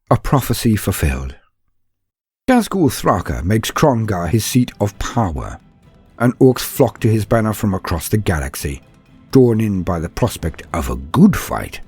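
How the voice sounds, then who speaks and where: A man's voice: lowish voice, mellow, breathy edge. A man reading dramatic scripted lore narration in a solemn, storytelling manner. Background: background music.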